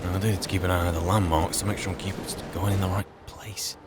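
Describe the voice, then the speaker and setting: Low-voiced, musing man playing a wandering mage. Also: level tone, quick pace, lightly acted. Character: weary, grumbling male wandering mage adventurer. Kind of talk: in-character game roleplay narration. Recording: faint game ambience noise.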